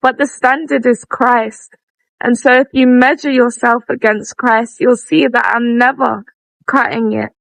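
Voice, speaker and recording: Young woman musing, with vocal fry. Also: fairly high pitch, steady tone, a little slow, no echo, low-quality recording. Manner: chatty, reflective, laughs at herself. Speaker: vlogger and podcast host. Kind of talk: casual reflective monologue.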